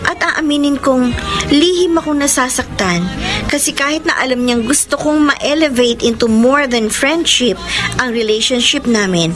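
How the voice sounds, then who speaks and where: A woman's voice: high-pitched, normal speed, close to an everyday voice. An actress playing a lovelorn young woman in a Filipino radio drama, earnest and emotive, with a Filipino accent. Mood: wistful confession. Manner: earnest, emotive delivery, mixing Tagalog and English. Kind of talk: Filipino radio drama acting and letter narration. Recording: radio drama with music underneath.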